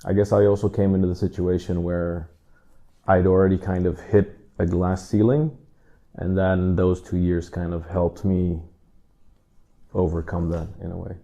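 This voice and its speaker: A man in his thirties from the Netherlands, a drummer answering interview questions in a relaxed, rambling way with many asides. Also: low-pitched, moderate pace, vocal fry, muffled recording. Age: 30s.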